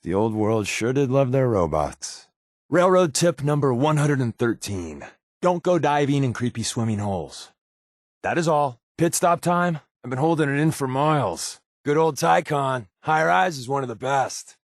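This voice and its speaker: An American actor playing a sardonic, wisecracking secret agent companion in a video game, with a wry, offhand delivery. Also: male voice, medium pitch, expressive, normal speed, dry recording, studio quality, close to an everyday voice. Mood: wry, musing.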